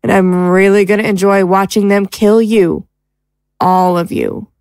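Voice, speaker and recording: A woman's voice: medium pitch, steady tone, measured pace, a little mellow, clean tone, dry recording, studio quality, close to an everyday voice. A woman playing a spiteful, gloating, foul-mouthed turncoat in an audio drama, with a sneering, defiant manner.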